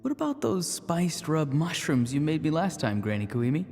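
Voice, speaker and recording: A man's voice: on the high side, very animated, normal speed, a little mellow. A man playing an earnest, troubled young boy in a video game, pensive, with music behind. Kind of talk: scripted video game acting. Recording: long reverb with game music.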